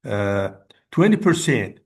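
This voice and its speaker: A man with a Turkish accent and Turkish as his first language, giving an academic conference presentation in a measured way, often restating points. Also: on the low side, very animated. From Turkey. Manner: measured, restates and rephrases with "I mean".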